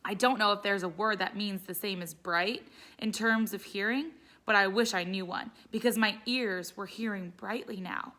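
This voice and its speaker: Bright-voiced woman reading a children's story. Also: medium pitch, fairly even intonation, normal speed. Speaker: teacher reading aloud. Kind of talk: expressive children's read-aloud narration.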